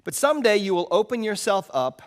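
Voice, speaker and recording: A man reading the part of God in a story as a gentle, consoling, patient father, measured and reassuring. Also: high-pitched, big pitch swings, pitched above the performer's own voice.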